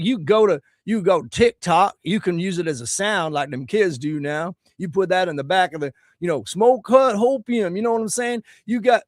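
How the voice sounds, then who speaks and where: A man's voice: high voice, no echo, clean studio sound. A man, ranting crudely and preachily to camera about politics while plugging his song, his voice a little scratchy from singing. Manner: loud, crude, preachy, swearing constantly. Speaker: musician promoting his song. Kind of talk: profane political rant to camera.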